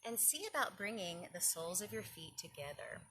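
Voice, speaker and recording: A woman with a slightly low voice teaches children in an informal storytime and yoga style. She explains things simply, step by step, with encouragement, and there is faint noise in the recording. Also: sing-song, a little fast. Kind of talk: informal instruction and picture-book storytelling for children.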